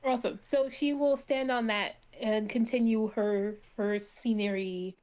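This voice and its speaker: A woman's voice: a little high, fairly even intonation, measured pace, a little mellow. A woman playing a tabletop game, casually and playfully narrating her character's actions.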